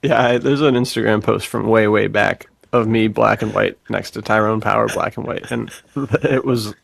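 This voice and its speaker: Casual, slangy man with gravelly creak. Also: on the low side, steady tone, normal speed, a bit bright, no echo. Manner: slangy, enthusiastic, frequent chuckles. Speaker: podcast co-host. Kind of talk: casual podcast chat.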